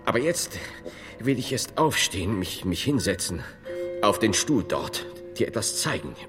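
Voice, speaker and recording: A man playing a dazed, eccentric medieval theologian in a radio drama, halting and stammering, with grave whimsy. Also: lowish voice, lively intonation, music in the background, natural voice. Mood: halting, reflective. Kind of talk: German radio drama acting.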